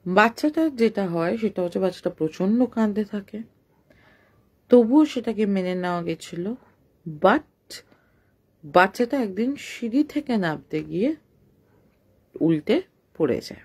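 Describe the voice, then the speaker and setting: A woman's voice: medium pitch, darkish tone, slightly rough. A woman telling a ghost story in an unscripted, conversational way, explaining and rephrasing as she goes, with English words mixed in.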